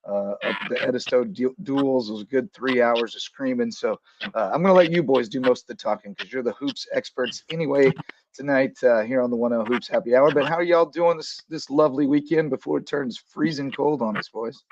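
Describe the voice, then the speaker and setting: A man hosting a casual basketball talk show with a voice a bit raspy from shouting the day before, rambling easily with lots of fillers. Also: medium pitch, fairly quick, a little hiss or hum. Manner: easygoing, rambling with many fillers. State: slightly raspy from coaching. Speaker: podcast host. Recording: dull, dry sound.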